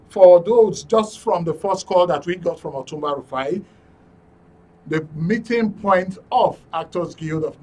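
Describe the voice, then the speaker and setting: A man's voice: fairly high pitch, distant mic. A man with a Nigerian accent hosting a live call-in talk show on radio and TV from a studio. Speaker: radio and TV host. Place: broadcast studio.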